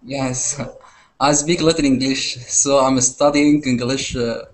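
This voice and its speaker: A young man with an Egyptian Arabic accent introduces himself in a flat, even voice. He is polite and modest. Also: medium pitch, slow pace. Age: 20s. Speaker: student.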